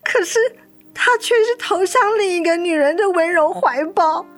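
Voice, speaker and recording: Casting: devoted, heartsick mother, high plaintive voice, emotional radio drama delivery, music underneath. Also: normal speed. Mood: sorrowful. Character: anguished, devoted young mother of sick child. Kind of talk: Mandarin radio drama acting.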